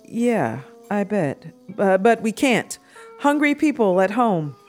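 A woman voicing a conscientious, somewhat anxious young woman in an audio drama, talking in an animated, apologetic way. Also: mid-range voice, takes it slowly, soft background music. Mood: chatty.

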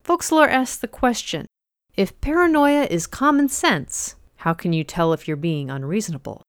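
A woman's voice: mid-range voice, lively intonation, normal speed, clean tone, no echo, clean studio sound. A woman hosting a podcast, reading a scripted introduction to a story. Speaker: podcast host introducing stories.

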